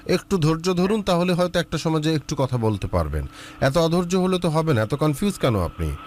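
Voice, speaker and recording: A man's voice: fairly high pitch, very animated, brisk, a little mellow. A man hosting a love advice show, questioning a caller bluntly and impatiently. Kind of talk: counseling a caller.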